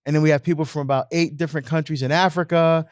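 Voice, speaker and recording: A man's voice: on the high side, steady tone, quick pace, dry recording. Man telling parish stories, dry sound. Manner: storytelling, frequent restarts and repeated words. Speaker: parish priest. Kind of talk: casual podcast discussion.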